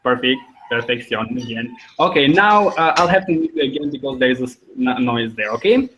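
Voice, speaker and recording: A man's voice: medium pitch, expressive, a little fast, a bit bright, a little hiss or hum. A young man from Albania with an Albanian accent, teaching an informal online language lesson as host. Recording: dull lo-fi video call audio.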